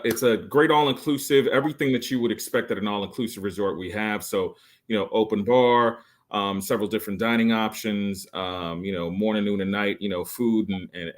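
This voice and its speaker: A man in his late forties, a resort sales guest on a podcast, giving an enthusiastic pitch full of fillers and restarts. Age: 40s. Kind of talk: podcast interview.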